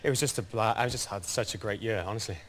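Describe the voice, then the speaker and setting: A man in his twenties, a singer, chatting as a guest in a TV studio in a relaxed, earnest way. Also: lowish voice, quick pace, slight background noise. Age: 20s. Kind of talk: casual TV chat.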